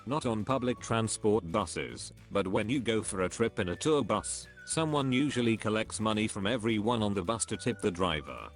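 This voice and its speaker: Male, low-pitched, text-to-speech narrator, evenly phrased scripted read-aloud, light music underneath. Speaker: narrator reading internet comments.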